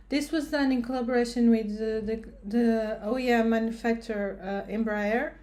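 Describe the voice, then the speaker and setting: Hesitant woman researcher with a higher voice. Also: darkish tone. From Portugal. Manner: hesitant, many fillers and restarts. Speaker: researcher presenting a lecture. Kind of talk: recorded educational presentation.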